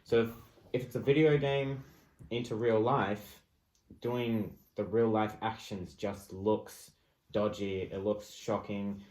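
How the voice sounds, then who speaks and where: A man's voice: fairly low pitch, fairly even intonation, slow, darkish tone. A man co-hosting a podcast, explaining things in casual discussion, recorded in an echoey room. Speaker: podcast co-host.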